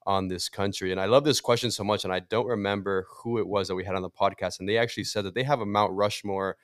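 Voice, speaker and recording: A young man from Miami with Portuguese as his first language hosts a podcast in chatty, upbeat talk. His voice is low and smooth, recorded close and dry with no room sound. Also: no echo. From Miami, USA. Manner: enthusiastic, chatty, with many fillers. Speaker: podcast host. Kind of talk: casual interview conversation.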